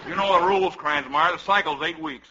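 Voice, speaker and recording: An actor playing a blustery, pompous ice cream sales boss in a 1950s radio comedy, with a falsely hearty pep-talk delivery. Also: male voice, on the high side, a little fast, lightly acted. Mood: stern. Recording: noisy, dull 1950s radio recording.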